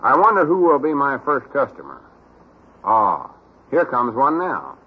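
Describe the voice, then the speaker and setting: A man's voice: medium pitch, wildly swooping pitch, unhurried, breathy edge, full character voice. An actor playing a bossy, self-important country man with a Southern US accent, officious and exasperated, in an old-time radio comedy. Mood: stiff, pompous. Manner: exasperated, officious, stiffly pompous when reading. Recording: muffled, worn old radio recording.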